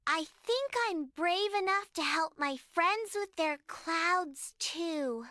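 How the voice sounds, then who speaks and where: A small preschool girl for a bedtime story, with a very high, bright voice. She speaks slowly and softly, in an earnest childlike way, with lively rises and falls. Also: no room sound, studio quality, heavily acted. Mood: gentle, hopeful.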